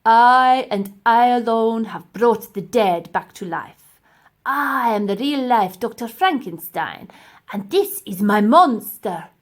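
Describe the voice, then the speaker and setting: Pompous old male scientist for a storybook, played by a woman: boastful, scornful, slow and emphatic. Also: fairly high pitch, animated.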